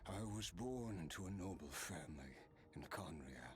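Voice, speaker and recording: An actor playing a weary, once-proud nobleman warrior cursed with immortality, in breathy, hushed, reflective dramatic game narration. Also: deep voice, animated, normal speed. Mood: somber, bitter.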